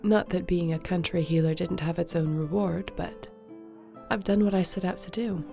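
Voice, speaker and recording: A woman playing a gentle, capable sorceress and healer in a fantasy audio roleplay, speaking in a measured, reassuring way. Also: on the low side, fairly even intonation, gravelly, soft background music. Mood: warm, sincere.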